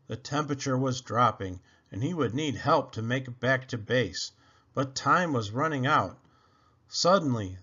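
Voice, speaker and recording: A man's voice: medium pitch, fairly bright tone, husky. A man reading a children's adventure story aloud with dramatic emphasis on key phrases. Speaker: storybook reader. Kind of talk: scripted read-aloud storytelling. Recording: dull, lo-fi sound.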